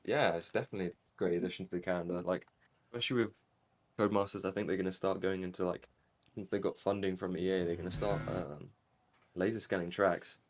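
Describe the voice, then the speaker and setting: A man's voice: deep voice, fast talker. A young man from Angola, interviewed after winning a sim race, talking casually with lots of fillers. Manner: relaxed, rambling with fillers. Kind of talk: casual post-race interview over voice chat.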